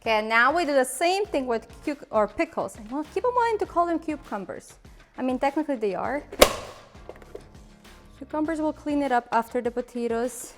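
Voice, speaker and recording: Ukrainian-accented woman with a high voice. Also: expressive, brisk, soft background music. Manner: chatty, laughs at own slips. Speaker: cooking show host. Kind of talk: casual cooking demonstration.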